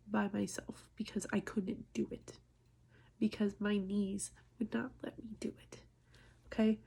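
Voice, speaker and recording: A woman in her early thirties talking casually and candidly to viewers in a personal vlog, halting and self-deprecating, with a husky, gravelly voice. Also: medium pitch, darkish tone. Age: early 30s. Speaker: nurse and vlogger.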